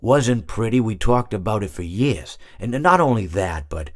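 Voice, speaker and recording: An actor playing an oblivious, long-winded animatronic hippo in a video game, philosophizing with hesitant, repeated words. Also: male voice, lowish voice, expressive, moderate pace, no echo, studio quality, natural voice. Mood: rambling, earnest. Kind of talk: video game character monologue.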